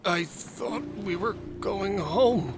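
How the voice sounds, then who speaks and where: A man's voice: high-pitched, lively intonation, takes it slowly, background noise. A man playing a tired, bruised, anxious husband in a horror audio drama, with a worn-down, pleading manner. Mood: weary.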